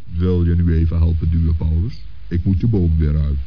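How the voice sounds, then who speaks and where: An actor playing a dignified, kindly old saint in a children's radio play, speaking in a measured, patient and reassuring manner. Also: male voice, low-pitched, fairly even intonation, husky, lo-fi sound. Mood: gently practical.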